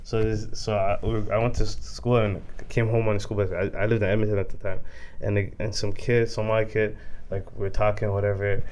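A man's voice: fairly low pitch, flat delivery, a little fast, a little mellow, slight background noise. A man co-hosting a casual podcast chat who tells long stories with many restarts. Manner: rambling storyteller with restarts. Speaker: podcast co-host.

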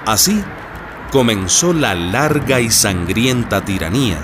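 A man's voice: fairly low pitch, expressive, measured pace, noisy background. A man playing the solemn storyteller of a radio drama, in scripted narration. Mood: solemn, dramatic. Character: solemn storyteller of a political biography.

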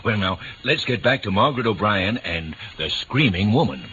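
A man's voice: on the low side, lively intonation, normal speed, sharp tone. An American man in teasing, genial banter as a comic foil on an old-time radio ad. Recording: dull, noisy old radio recording.

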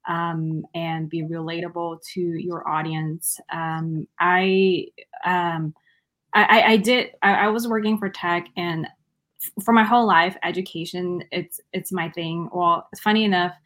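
A woman from Malaysia answers as a guest in a relaxed interview. She speaks with frequent fillers and restarts. Her voice is somewhat low for a woman. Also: a little slow. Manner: hesitant, many fillers and restarts.

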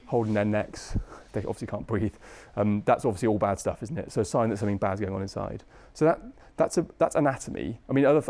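Fast-talking British man giving a lecture. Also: fairly low pitch, a little mellow. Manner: conversational, frequent tag questions, colloquial asides. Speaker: medical lecturer, anaesthesia registrar. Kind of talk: informal teaching lecture with audience questions.

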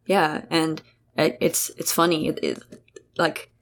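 A woman's voice: deep voice, little pitch movement, slow. A young woman from South Africa, guest host of a casual chat, talking in a rambling way with many fillers and restarts. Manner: chatty, rambling, full of "like" and restarts. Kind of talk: casual conversation between sisters.

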